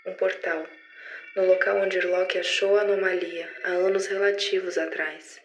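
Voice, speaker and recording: A woman's voice: fairly low pitch, level tone, normal speed, lightly acted. A Brazilian woman playing a frightened agent in an audio drama, reporting a catastrophe. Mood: grave, tense. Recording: echoey, noisy room with ambience.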